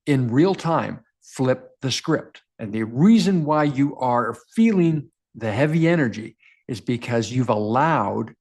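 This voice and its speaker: Earnest baritone man coaching to camera. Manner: earnest, direct, repeats phrases for emphasis. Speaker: men's coach and show host. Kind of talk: motivational self-help talk to camera.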